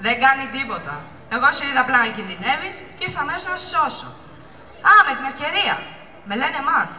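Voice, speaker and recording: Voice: sits high, animated, pushed and bright, a little hiss or hum, full character voice. A young teenager playing a brave, kindly male sea creature in an amateur school puppet play, eager and earnest. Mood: concerned. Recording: echoey reverberant hall recording.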